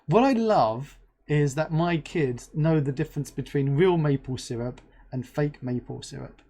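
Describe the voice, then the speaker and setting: A livestream host chatting playfully with a guest and reading chat aloud, bubbly and expressive. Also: medium pitch, moderate pace. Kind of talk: live-stream interview and chat reading.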